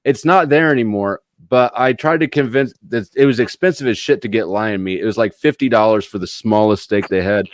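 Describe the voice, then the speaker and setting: A man's voice: on the low side, fairly quick, few pauses, dry recording. A man co-hosting a casual livestream chat, telling stories in a laid-back way.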